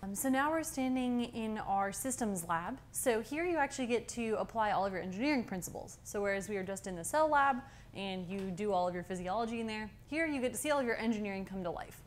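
A woman's voice: medium pitch, quick pace, hardly any pauses. A young woman giving an informal, upbeat and explanatory guided campus tour as a student guide. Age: young adult. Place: indoor university labs and hallway. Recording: steady clear background room noise.

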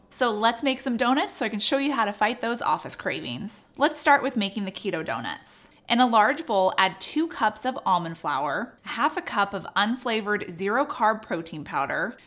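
A woman's voice: medium pitch, fairly bright tone. Upbeat woman narrating a home recipe. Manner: upbeat, playful asides. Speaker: cooking channel host. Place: home kitchen, indoors.

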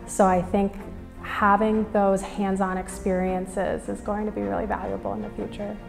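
Young American woman, even-pitched, in reverberant room. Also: normal speed, creaky. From Minnesota, United States. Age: young adult. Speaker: business school student giving a testimonial. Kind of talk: interview testimonial. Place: indoors against a plain wall and banner. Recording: reverberant hall, music underneath. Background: background music.

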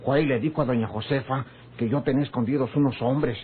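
An actor with a northern Mexican accent playing a sly, quarrelsome, rustic ranch hand in a radio drama, his voice husky. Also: medium pitch, quick pace. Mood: agitated. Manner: rustic, colloquial. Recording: muffled old radio serial recording.

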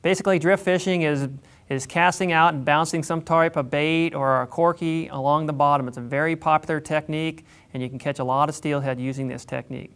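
A man explaining how to tie a drift fishing setup, in a plain, unhurried way with frequent pauses. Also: on the high side, steady tone, a bit bright, clear, resonant tone. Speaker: fishing instructor. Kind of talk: instructional demonstration.

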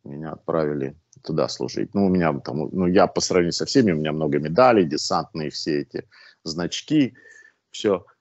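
A man's voice: extremely low pitch, brisk, a little mellow, no room sound. A man in his sixties, a guest on a video call, telling anecdotes in a chatty way with restarts, through a wired earphone mic at home. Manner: chatty anecdotal storyteller, restarts and filler sounds. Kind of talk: casual storytelling over a video call. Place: living room at home, indoors. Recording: dull, dry lo-fi video-call audio.

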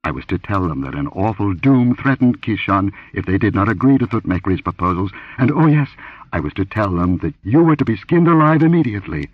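A man's voice: lowish voice, big pitch swings, a little fast, husky, dry recording. A man voicing a terrified young dancing girl who pleads tearfully and breathlessly in a pulp adventure story. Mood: anxious, explaining. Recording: dull lo-fi audio.

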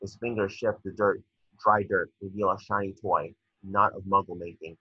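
A man's voice: sits low, fairly even intonation, normal speed, bright, forceful tone, breathy edge, no echo. A man reading stage directions aloud as narrator at a table read, now and then stumbling over words. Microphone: video call. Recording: dull lo-fi video call audio.

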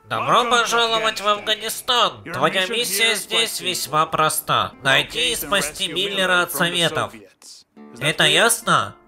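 A man's voice: high-pitched, lively intonation, measured pace, music in the background. A man voicing a stern, pompous military commander delivering solemn briefings in a parody cartoon dub.

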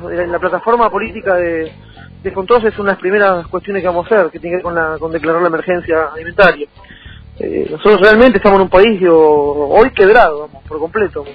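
A man with a Rioplatense Argentine accent talking politics as a radio interview guest, emphatic and repetitive, with halting phrasing. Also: high-pitched. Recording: tinny phone-like sound over music.

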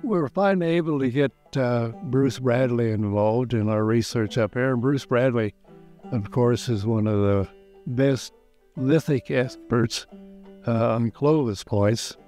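An older man with an American accent speaks haltingly in a documentary interview, pausing mid-sentence as an archaeologist explaining fieldwork. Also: mid-range voice, warm tone. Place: outdoors at a dig site. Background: music.

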